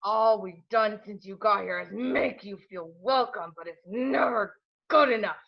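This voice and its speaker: A man's voice: high voice, unhurried, gravelly, full character voice. A man playing a bitter, volatile older father in an amateur script read. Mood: furious shouting. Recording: dull lo-fi home recording.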